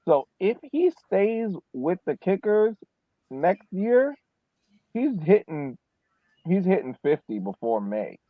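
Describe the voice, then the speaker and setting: A man co-hosting a casual sports analysis chat, halting and repeating words as he thinks, with thin, phone-like sound. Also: sits high, sing-song, no room sound. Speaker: podcast co-host.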